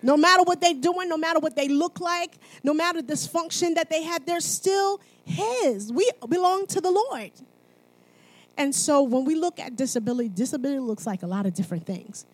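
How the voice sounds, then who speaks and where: A woman's voice: sits high, lively intonation, moderate pace, no echo, rough recording. A woman from Haiti speaking at church, mixing sermon and personal testimony in a conversational, emphatic manner, often checking in with listeners.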